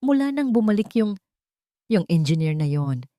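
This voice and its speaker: A woman acts out a worried female friend with a Filipino accent in an audio love story. She is reflective and gently probing, with a mellow tone. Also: fairly low pitch, sing-song, normal speed, no room sound, studio quality. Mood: concerned.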